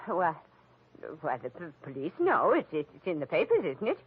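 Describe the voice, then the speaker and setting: A woman's voice: fairly low pitch, huge pitch swings, moderate pace, husky, exaggerated voice. A woman playing a flustered, scheming middle-aged society matron, stammering theatrically in 1940s radio style. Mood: nervous, evasive. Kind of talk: 1940s radio drama acting. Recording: muffled tinny old radio broadcast.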